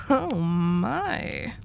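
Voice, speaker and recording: A woman's voice: mid-range voice, big pitch swings, extremely slow, gravelly, fairly bright tone, a little hiss or hum, exaggerated voice. A woman playing a dominant, seductive mafia boss in a roleplay audio drama, teasing and possessive with a coaxing menace.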